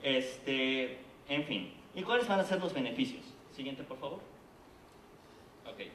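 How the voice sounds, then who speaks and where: A man's voice: mid-range voice, animated, measured pace, fairly bright tone, a little hiss or hum. A man with a Mexican Spanish accent giving a persuasive presentation at a theater congress, often checking in with the audience. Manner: earnest, persuasive, frequent "¿no?" and "¿de acuerdo?". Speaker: conference speaker presenting a theater project. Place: conference hall. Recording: echoey hall, voice from a distance.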